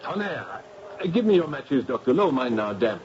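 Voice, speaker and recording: Actor as highly expressive, excitable Frenchman. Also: normal speed, natural voice. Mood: panicked. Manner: dramatic, exclamatory, formal phrasing. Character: excitable, fastidious Frenchman, the investigator's companion. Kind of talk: 1970s radio drama acting. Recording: muffled, very noisy old radio recording.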